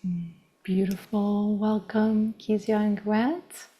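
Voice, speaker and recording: A woman's voice: medium pitch, little pitch movement, takes it slowly, mellow. A woman hosting an online gathering, informally welcoming participants and calling on the next one.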